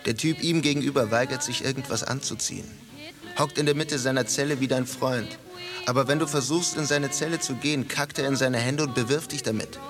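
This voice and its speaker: An actor playing a laconic, probing psychiatric ward attendant, wry and casual, in a German radio drama. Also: male voice, mid-range voice, brisk, lightly acted. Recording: studio drama with music bed.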